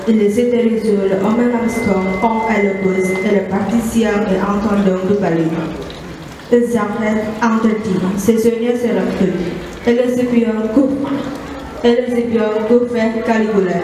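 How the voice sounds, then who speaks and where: Female narrator, young, Indonesian accent, scripted stage directions, long hall reverb, background noise. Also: mid-range voice. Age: young adult. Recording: echoey noisy hall.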